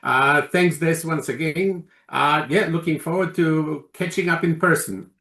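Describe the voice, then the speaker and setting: A man speaking as an interview guest, halting and searching for words with many restarts. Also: fairly high pitch, fairly even intonation, creaky, pushed and bright, reverberant. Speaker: guest, organisation chairman. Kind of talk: casual interview talk.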